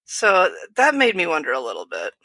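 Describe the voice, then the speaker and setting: A woman's voice: fairly low pitch, huge pitch swings, a little fast, bright, forceful tone, no room sound. A woman co-hosting a podcast, talking through a book in a casual, tentative, musing way. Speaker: podcast co-host. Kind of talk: casual book discussion chat.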